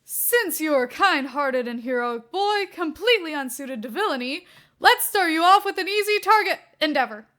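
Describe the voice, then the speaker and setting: A mischievous grown hero revels in playing the villain, as a woman storyteller puts him on. The delivery is theatrical and boastful, with a bright, forceful edge and raised pitch. Key words are hit hard, as in a dramatic storybook. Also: lively intonation, normal speed. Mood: grand, coaxing. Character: mischievous middle-aged male superhero playing gleeful prank villain.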